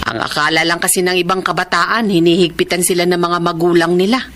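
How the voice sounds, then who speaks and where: A woman's voice: lowish voice, flat delivery, fast, fairly bright tone, close to an everyday voice. An actress playing a caring, chatty middle-aged mother in a Filipino radio drama. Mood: friendly, relieved.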